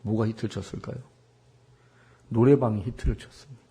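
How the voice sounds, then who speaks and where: Husky middle-aged male preacher. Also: lowish voice, fairly even intonation, brisk, dark tone. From South Korea. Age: 40s. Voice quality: husky, worn voice. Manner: rhetorical questions to the congregation, emphatic repetition. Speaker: pastor preaching. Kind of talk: Sunday sermon with personal anecdotes.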